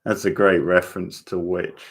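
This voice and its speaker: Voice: deep voice, steady tone, moderate pace. A watchalong host giving casual live reaction commentary in sparse, offhand remarks.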